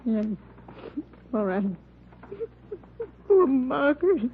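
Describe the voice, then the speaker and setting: An actress playing a grief-stricken, tearful, halting neighbor woman in an old radio crime drama. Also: high-pitched, sing-song, full character voice. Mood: tearful, shocked. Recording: muffled crackly old radio recording.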